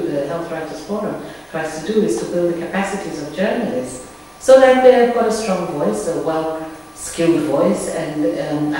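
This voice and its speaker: A woman with a Zambian accent, a media consultant and journalist, speaking in a measured, earnest way in an interview. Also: deep voice. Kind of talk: recorded interview statement. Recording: echoey room, distant mic, faint noise.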